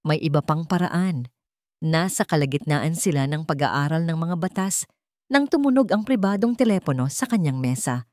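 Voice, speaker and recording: A woman's voice: deep voice, animated, normal speed, a little mellow, no room sound, studio quality. A woman narrating a scripted dramatic story.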